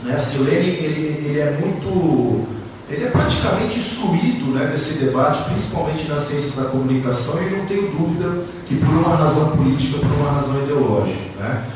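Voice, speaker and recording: A man's voice: a little high, expressive, brisk, a little mellow. Brazilian man lecturing in a very echoey hall. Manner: discursive, frequent rhetorical tag questions. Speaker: academic speaker. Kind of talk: academic lecture at a conference panel. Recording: very echoey hall, distant mic, faint noise.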